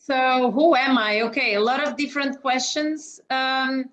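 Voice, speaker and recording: A woman's voice: sits high, steady tone, a little slow, a bit bright. A woman with a Portuguese accent, a guest talking casually from her home office on a video call, hesitant with many fillers. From Mozambique. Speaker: interview guest, operations executive. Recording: muffled lo-fi video call audio.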